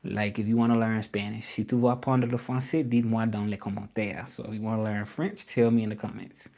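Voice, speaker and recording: A man's voice: on the low side, level tone, fairly quick, vocal fry, mellow. Man chatting casually to livestream viewers. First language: English. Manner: chatty, repetitive, addresses viewers as y'all. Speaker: livestreaming creator and language tutor.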